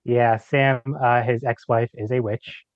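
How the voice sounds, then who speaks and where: A man's voice: mid-range voice, little pitch movement, unhurried, no echo. A man chatting casually as a podcast guest, informal and a little hesitant, with light amusement. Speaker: audio drama creator, podcast guest. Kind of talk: casual chat. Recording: slightly dull lo-fi microphone sound.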